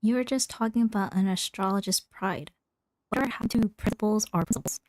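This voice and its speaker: A woman's voice: mid-range voice, fast, noisy background. A woman streaming a game and reading out its dialogue lines.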